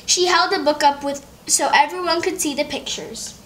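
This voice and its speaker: Children's storybook host, female, notably high voice, read-aloud narration. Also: moderate pace, fairly bright tone, slight background noise.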